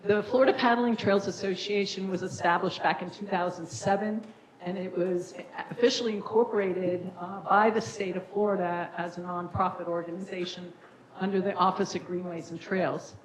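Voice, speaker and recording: A woman with a raspy voice who directs a nonprofit, presenting informally to a city council with frequent fillers. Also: on the low side, steady tone, moderate pace. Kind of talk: public presentation at a council meeting. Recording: echoey council chamber.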